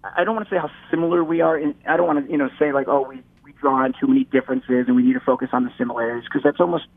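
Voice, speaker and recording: A man's voice: medium pitch, fairly even intonation, racing, gravelly, no room sound. Man on a tinny phone line. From Metro Detroit. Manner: rambling, many restarts and repeated words. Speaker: guest. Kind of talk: casual interview chat.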